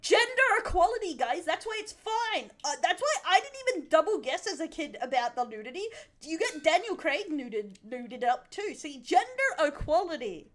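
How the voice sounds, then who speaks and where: A high-pitched Australian woman talks in a loose live-stream style. She is blunt and jokey, swears freely and sounds giggly. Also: big pitch swings, moderate pace, few pauses, fairly bright tone. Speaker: streamer reacting to a video. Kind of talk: casual live reaction chat.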